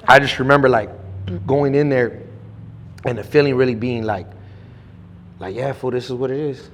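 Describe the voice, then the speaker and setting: A man's voice: mid-range voice, fairly even intonation, normal speed, in a large hall, slight background noise. A young man telling his story in a casual interview, rambling with lots of restarts and slang. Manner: rambling, slangy, many restarts and "you know what I'm saying". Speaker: guest.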